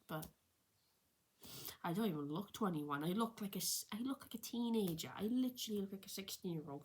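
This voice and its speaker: A woman in her early twenties telling a personal story in a rambling, unscripted vlog, with many restarts and self-corrections. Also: on the low side. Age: 20s. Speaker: vlogger. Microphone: camera set a little far away.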